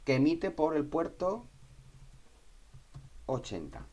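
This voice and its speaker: A man presenting an informal step-by-step software tutorial, thinking aloud and often checking in with short questions. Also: fairly high pitch, wildly swooping pitch, slow pace.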